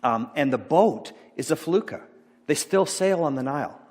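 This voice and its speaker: A middle-aged man giving an informal sermon, rambling and halting with many fillers and restarts. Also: on the high side, lively intonation, a little mellow. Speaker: pastor. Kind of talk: informal sermon talk about slides. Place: church sanctuary. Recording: long church hall reverb.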